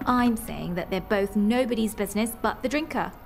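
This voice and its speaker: An actress playing a breezy, unbothered hairdresser in a radio soap, blunt and teasing. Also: female voice, medium pitch, moderate pace, vocal fry, faint music behind, natural voice. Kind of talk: radio soap opera acting.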